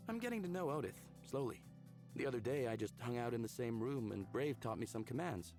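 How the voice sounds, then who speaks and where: An actor voicing an upbeat, smitten young man in a visual novel game, speaking in an earnest, casual, expressive way. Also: medium pitch, a little fast, natural voice. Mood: coy, curious. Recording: background music under the voice.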